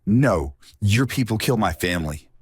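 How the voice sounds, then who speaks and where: Man acting husky, vengeful villain, swooping delivery. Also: fairly low pitch, lively intonation, moderate pace, no room sound, clean studio sound. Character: vengeful, menacing male rival. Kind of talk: amateur audio drama acting.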